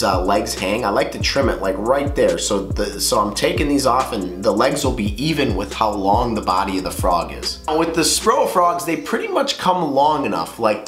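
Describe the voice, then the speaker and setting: A man with a slightly stuffy, nasal voice from a cold, talking in a laid-back, rambling way with lots of "um" and "uh". He sounds like he is in an echoey space, with faint music underneath. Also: medium pitch. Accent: Upper Midwest American. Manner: relaxed rambling, many "um" and "uh". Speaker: fishing video host. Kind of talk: casual how-to talk to camera.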